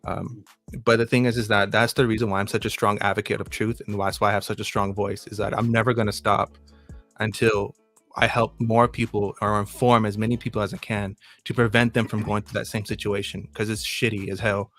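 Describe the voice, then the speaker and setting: A man's voice: on the low side, level tone, fairly quick, fairly bright tone, soft background music. A man co-hosting a casual live stream chat, talking in an earnest, emphatic way with some swearing. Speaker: co-host.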